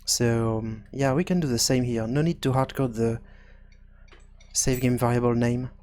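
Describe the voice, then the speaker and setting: A man presenting an unscripted programming tutorial, hesitant and halting as he thinks aloud. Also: mid-range voice, steady tone, darkish tone.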